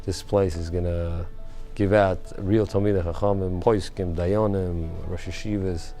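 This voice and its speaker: A man's voice: low-pitched, fairly even intonation, unhurried, dark tone. A man giving a testimonial for a community video. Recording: music playing behind the voice.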